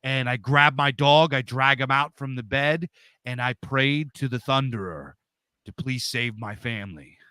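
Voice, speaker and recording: Loud, forceful man. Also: medium pitch, a little slow, smooth, no echo. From Pennsylvania, USA. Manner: loud, big-voiced talker. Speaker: interview guest. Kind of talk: casual storytelling.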